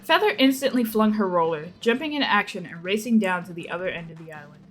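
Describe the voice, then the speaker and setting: A woman's voice: medium pitch, animated, quick pace, soft background music. A woman reading a scripted action story aloud as a dramatic narration. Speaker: narrator of a fan fiction reading.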